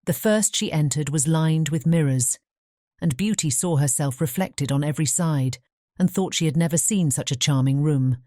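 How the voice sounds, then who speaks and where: A woman's voice: sits low, moderate pace, mellow, dry recording, studio quality. A woman narrating a fairy tale in a scripted bedtime audiobook style.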